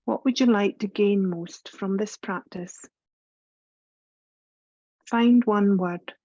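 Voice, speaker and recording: Mellow woman guiding a meditation, dull recording. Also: medium pitch, no room sound. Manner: soothing, unhurried, instructive. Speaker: yoga teacher leading guided meditation. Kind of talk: guided meditation, scripted narration.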